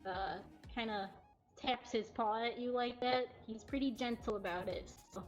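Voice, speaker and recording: High-voiced woman chatting on a lo-fi stream. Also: moderate pace. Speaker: cat cafe host presenting adoptable cats. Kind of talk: casual unscripted livestream narration. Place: cat cafe. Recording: dull lo-fi livestream audio with music. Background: faint music.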